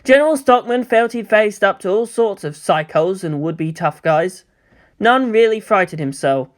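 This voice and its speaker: A man reads a story as its narrator. His delivery is scripted and dramatic, with widely moving, animated intonation. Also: high voice, normal speed. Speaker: audiobook-style narrator of fan fiction.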